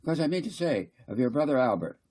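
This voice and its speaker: A man reading a story, voicing a flustered, argumentative town councillor who blusters haltingly and repeats himself. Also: a little high, big pitch swings, a little mellow, voice pushed up. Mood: flustered, accusing.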